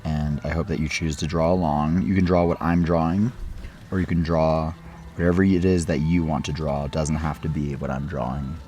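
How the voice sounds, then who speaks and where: A man losing his voice to a cold, chatting in a relaxed, meditative way as he sketches outdoors beside a hot spring. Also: a bass voice, moderate pace, highs cut off. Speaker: art vlogger. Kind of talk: casual travel vlog narration. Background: faint local music.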